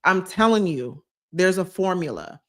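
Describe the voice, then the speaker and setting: A woman's voice: on the low side, lively intonation, fairly bright tone. Emphatic young woman creator chatting live. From United States, New Jersey. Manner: emphatic, repeats advice, drawn-out phrases. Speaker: YouTube creator. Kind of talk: live-stream Q&A chat, reading comments.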